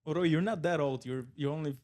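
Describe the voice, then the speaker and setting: A man's voice: on the high side, quick pace, a little mellow. A man hosting a podcast interview, asking admiring, casual questions. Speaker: podcast host.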